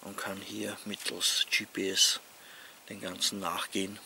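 Slow, gravelly Austrian man. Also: low-pitched, little pitch movement. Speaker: outdoor vlogger. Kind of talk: casual talk to camera. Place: outdoors among leafy trees. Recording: outdoor ambient noise.

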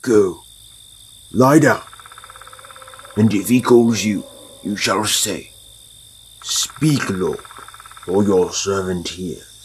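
A man playing an old priest who gives calm, subdued instruction in a Bible audio drama. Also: on the low side, very slow, long pauses, a little hiss or hum, low-quality recording. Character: old, dim-sighted priest, calm male mentor.

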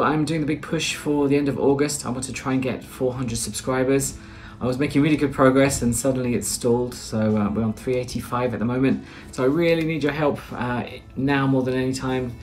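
A man's voice: mid-range voice, a little mellow. Man, British accent, 30s, casual vlog chat, faint background music. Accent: British English. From Britain. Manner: chatty, rambling, many "uh"s and restarts. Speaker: vlogger. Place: small plain room indoors.

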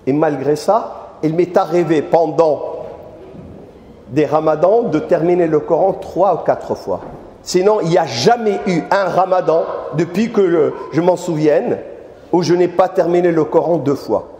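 An older man gives an animated mosque lecture into a handheld microphone, turning often to question his listeners. His voice sits high yet mellow and soft-edged. Long reverb from the hall trails his words. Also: a little slow, a little hiss or hum. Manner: animated, addresses audience directly with questions. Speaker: preacher. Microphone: handheld mic.

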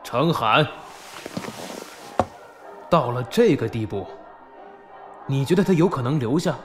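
A man's voice: medium pitch, expressive, slow pace. A man acting a cold, taciturn gang boss who hides his feelings in a Mandarin audio drama, terse and restrained. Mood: resigned. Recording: music behind the voice.

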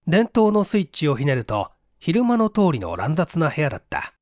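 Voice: mid-range voice, sing-song, moderate pace, darkish tone, dry recording, clean studio sound. A stage performer narrating a literary mystery story in a dramatic audiobook style.

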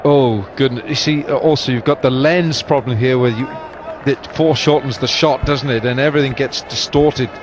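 A man giving live cricket commentary, weighing replays in a measured, slightly hesitant way. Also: mid-range voice, noisy background. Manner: measured, hesitant analysis with fillers. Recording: broadcast commentary over loud crowd.

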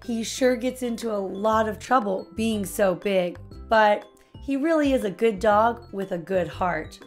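American woman, thirties, children's storytime host, expressive storybook reading, unhurried pace, faint background music. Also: medium pitch. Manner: expressive, clearly paced storytelling for small children. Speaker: host of a children's read-aloud show. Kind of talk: children's storybook read-aloud, addressed to young kids.

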